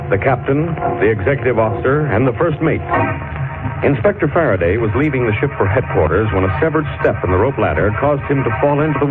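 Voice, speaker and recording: A man's voice: medium pitch, close to an everyday voice. A man narrating a mystery as a solemn announcer in scripted, dramatic 1940s radio style. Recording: tinny old radio recording. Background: loud music.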